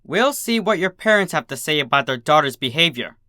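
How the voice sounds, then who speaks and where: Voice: mid-range voice, big pitch swings, a little fast, pushed and bright, dry recording, clean studio sound. A storybook reader voicing a stern adult female pony schoolteacher who barks and hisses reprimands. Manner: barking, hissing reprimands. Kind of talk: storybook character voice in fan fiction reading.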